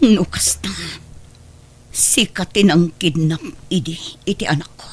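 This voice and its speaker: A woman's voice: lowish voice, unhurried, slightly rough. An actress playing a long-wronged, forgiving mother in a radio drama, speaking emotionally to her son. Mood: hurt, stern. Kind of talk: Ilocano radio drama acting.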